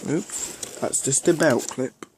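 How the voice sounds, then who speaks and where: A man giving a casual, unscripted product review in a halting way, often repeating himself. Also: medium pitch, background noise.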